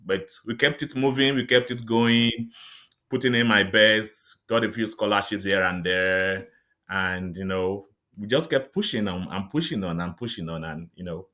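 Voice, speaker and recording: Nigerian man, low bright voice. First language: Yoruba. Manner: measured and reflective, laughs lightly between thoughts. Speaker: guest, psychiatrist and author. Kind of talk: interview conversation.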